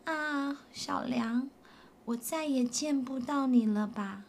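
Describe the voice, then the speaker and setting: A woman voicing a loving mother dinosaur in a storybook, speaking softly and tenderly. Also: high-pitched, slow, vocal fry, heavily acted. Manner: soft, tender, calling out.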